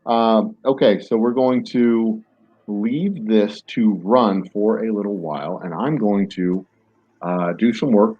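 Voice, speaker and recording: A man's voice: lowish voice, slow, breathy edge, no room sound, dull audio. A man casually commenting on a strategy game as he plays, rambling and self-mocking with many fillers. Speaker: gaming streamer. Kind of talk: casual let's-play commentary. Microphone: desk microphone.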